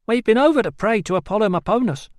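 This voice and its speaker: A man voicing a sharp-tongued barber's wife who scolds her husband. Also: high voice, lively intonation, quick pace, no room sound, studio quality, pitched above the performer's own voice. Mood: scolding. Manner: scolding, reproving.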